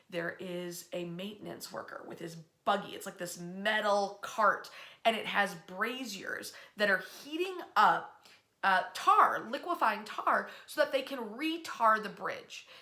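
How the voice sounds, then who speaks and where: A woman presenting local history as an animated storyteller, in a conversational, emphatic and folksy way. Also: mid-range voice, a little slow.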